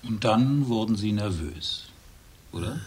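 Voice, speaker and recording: An actor playing a probing police interrogator in a radio crime drama, pointed and sceptical. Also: male voice, on the low side, expressive, measured pace, a little mellow, husky, heavily acted.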